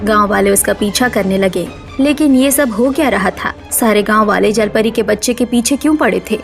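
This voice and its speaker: A woman's voice: mid-range voice, normal speed, gravelly, soft background music. A woman narrator reading a scripted cartoon story.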